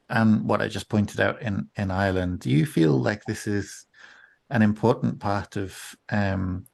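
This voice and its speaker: A man's voice: fairly low pitch, creaky, a little mellow. A man hosting a panel, asking long, polite, winding questions over a video call. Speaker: panel host, moderator. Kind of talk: moderating a panel discussion.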